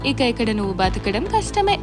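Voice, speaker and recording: Woman as a teasing little ant, high-pitched. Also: sing-song, moderate pace, music in the background. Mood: mocking. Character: tiny mocking ant, doubtful and teasing. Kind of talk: children's moral storybook.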